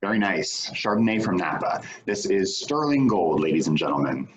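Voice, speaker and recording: A lowish, animated voice: a man acting a wry, inquisitive party host in a stage drama, with pitch that moves freely. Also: normal speed, a little hiss or hum, rough recording. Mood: showy. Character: wry, inquisitive man hosting a wine-drinking gathering. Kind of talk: staged play reading.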